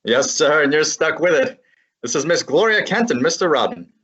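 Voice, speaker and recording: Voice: mid-range voice, sing-song, normal speed, a bit bright, breathy edge. A student actor playing an exasperated young male assistant and narrator in a student radio drama, with a pleading, wisecracking manner. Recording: dull lo-fi recording.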